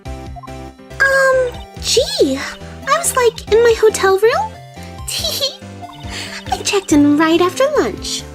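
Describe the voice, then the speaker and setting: A woman's voice: very high voice, very animated, slow pace, pauses often, full character voice. A woman playing a giggly, flirty ditzy witness. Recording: with background music.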